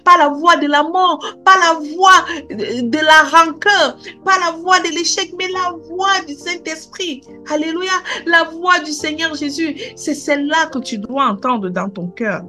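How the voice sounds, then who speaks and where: A high-pitched woman preaches to her congregation. She repeats her questions and drops in alleluia and amen between lines. Faint music plays underneath. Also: sharp tone. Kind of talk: sermon.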